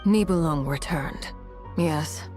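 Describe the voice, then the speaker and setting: A serious, resolute young woman with a lowish voice. She speaks formally and probingly, as game dialogue over faint music. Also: takes it slowly, darkish tone. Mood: inquiring. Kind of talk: video game acting.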